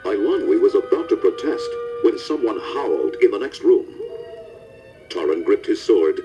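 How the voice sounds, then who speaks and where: A man's voice: lowish voice, very animated, normal speed, over music. A man giving scripted narration of a children's storybook as narrator.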